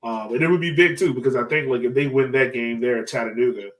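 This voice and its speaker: A man hosting a casual soccer fan podcast, talking in an animated, slangy way. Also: medium pitch, fast, distant mic, poor audio. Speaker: sports podcast host.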